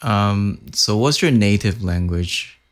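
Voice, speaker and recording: A man of forty with a Mandarin Chinese accent, hosting a casual interview and speaking slowly with many fillers. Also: lowish voice, expressive. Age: 40s. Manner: slow, hesitant, many "like" fillers.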